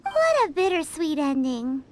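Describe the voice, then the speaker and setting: An actress voicing a tiny, cheeky, childlike fairy companion in a video game, squeaky and perky. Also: female voice, huge pitch swings, unhurried, noisy background, full character voice.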